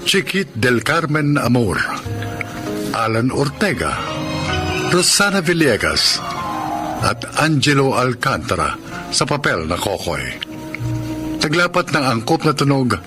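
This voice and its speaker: Slow, measured male radio drama announcer. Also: mid-range voice. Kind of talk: scripted narration, credit reading. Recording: music behind the voice.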